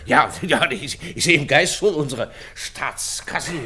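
Stammering, flustered government minister. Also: male voice, fairly high pitch, unhurried, sharp tone, a little hiss or hum. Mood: delighted, eager. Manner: hesitant, stammering, repeats himself. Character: flustered, eager government minister, a male Excellency. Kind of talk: German radio play acting.

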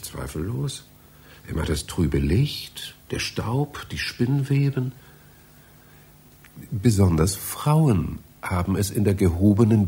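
An actor in a German satirical radio play playing a hesitant, polite, pedantic library visitor, formal and easily flustered. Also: male voice, extremely low pitch, darkish tone, full character voice. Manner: halting, flustered, formal, musing pompously when alone.

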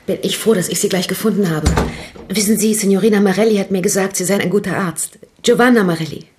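A woman's voice: medium pitch, fast talker, noisy background, lightly acted. An actress playing a nervous, talkative woman shop owner in a radio crime drama, speaking hesitantly. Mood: embarrassed, pleading. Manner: hesitant, gossipy, restarting sentences.

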